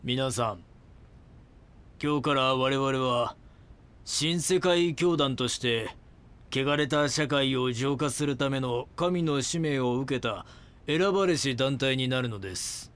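An actor playing a calm, grandiose cult founder in an audio drama, speaking politely and preachily with deliberate phrasing. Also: male voice, medium pitch, smooth. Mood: grandiose proclaiming.

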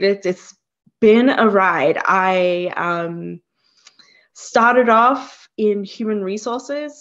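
A woman's voice: mid-range voice, highs cut off. A woman with an Australian accent, a career coach speaking as an interview guest, reflective and thinking aloud. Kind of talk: conversational interview.

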